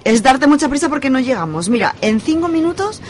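A woman's voice: sits high, sing-song, a little fast, a little hiss or hum. An actress playing a pushy, urgent young woman in an amateur radio drama. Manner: urgent, bossy.

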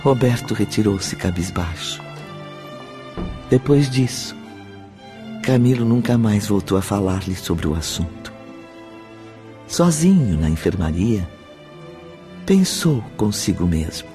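Slow, dramatic male narrator. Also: lowish voice, very animated. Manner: measured, solemn, emphatic. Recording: faint music behind. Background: soft background music.

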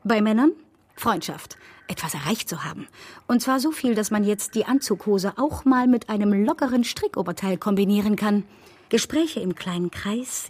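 A woman's voice: mid-range voice, a little mellow, husky, natural voice. An actress playing a sardonic, slick woman who analyses political image in a satirical radio play, pointed and ironic.

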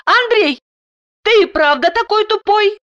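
A woman voicing an angry, tearful wife in a story, whiny and shrieking, with a sharp tongue. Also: extremely high pitch, animated, a little slow, dull audio, pitched above the performer's own voice, full character voice. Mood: indignant.

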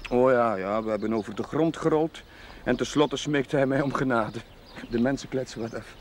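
An actor playing a kind, earnest village priest in a 1970s Dutch television drama, gentle and hesitant in manner. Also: male voice, medium pitch, moderate pace, a little hiss or hum, natural voice. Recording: 1970s TV soundtrack, music underneath.

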